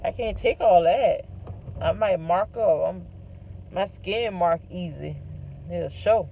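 A woman's voice: fairly low pitch, vocal fry. A woman, chatting casually to a phone camera while sitting in her car. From South Carolina, US. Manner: rambling, chatty, slow drawl with sing-song asides. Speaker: vlogger. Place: parked car, indoors of vehicle. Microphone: phone camera mounted on dashboard. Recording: tinny phone audio with loud road noise.

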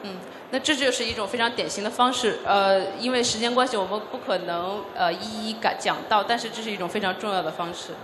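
A woman doing consecutive interpreting at a conference, measured and pausing with frequent fillers. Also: mid-range voice, fairly even intonation, noisy background. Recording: echoey hall with faint ambience.

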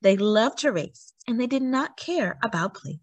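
Female children's storyteller, animated and emphatic read-aloud, very varied intonation, dry sound. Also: a little high, moderate pace, dry recording.

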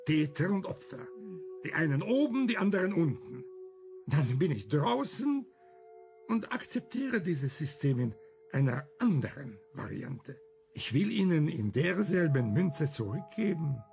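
An actor playing an older, embittered but principled camp survivor and writer in a German radio crime drama, arguing emphatically with rhetorical questions. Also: male voice, on the high side, big pitch swings, a little slow, vocal fry, darkish tone, soft background music, heavily acted. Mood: indignant.

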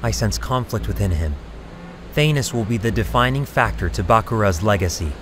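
An actor playing a solemn, calm young mystic warrior, earnest and measured, in a fan film drama. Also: male voice, fairly low pitch, background noise, close to an everyday voice. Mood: serious, resolute.

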